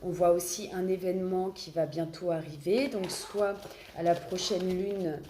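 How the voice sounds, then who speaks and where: A woman's voice: fairly low pitch, mellow. Woman, French accent, has a cold, hesitant tarot reader, roomy echo, faint hiss. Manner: hesitant, searching for words with many fillers. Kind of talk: casual tarot reading to camera. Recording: echoey room, faint background noise.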